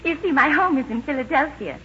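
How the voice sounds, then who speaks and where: An actress playing a timid, polite young stowaway with an English accent in 1940s radio style. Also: female voice, high voice, expressive, fairly quick, background noise. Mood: meek, apologetic. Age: young adult. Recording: dull old radio recording with music.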